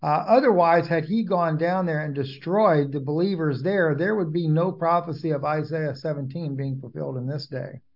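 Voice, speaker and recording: Higher-voiced man, creaky edge. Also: moderate pace, few pauses, highs cut off. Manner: discursive, frequently backtracking and rephrasing. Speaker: interview guest, Bible prophecy commentator. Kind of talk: conversational interview answers about prophecy.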